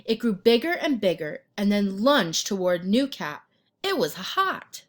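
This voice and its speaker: A woman narrating a children's picture book as an expressive read-aloud storyteller. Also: mid-range voice. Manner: pauses to ask the listener questions and point out pictures.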